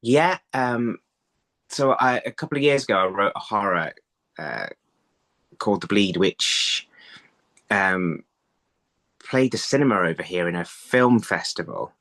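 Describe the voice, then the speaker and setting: A man in his thirties giving conversational interview answers over a video call, haltingly, thinking aloud and repeating words. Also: on the low side, expressive, a bit bright. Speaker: writer being interviewed. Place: indoor room, video call. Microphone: phone on a video call.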